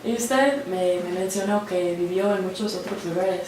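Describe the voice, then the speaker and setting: A woman interviewer poses polite, formal questions. She sits far from the mic in a very echoey room, with clear background noise. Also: medium pitch, moderate pace, darkish tone. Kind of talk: oral history interview.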